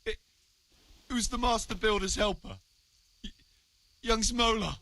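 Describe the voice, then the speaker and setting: Scared stammering peasant worker in a game, high voice, cowed and pleading. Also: male voice, sharp tone, no room sound, exaggerated voice. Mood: terrified, pleading. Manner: stammering, halting. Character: frightened male labourer, cowed, pleading, guilty. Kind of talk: video game drama acting.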